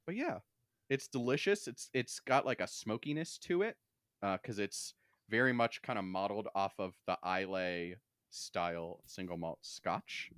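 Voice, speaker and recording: A man's voice: on the low side, normal speed, fairly bright tone, clean tone, dry recording, studio quality. A man hosting a podcast in casual, wry banter, joking and swearing freely as he talks. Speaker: podcast host.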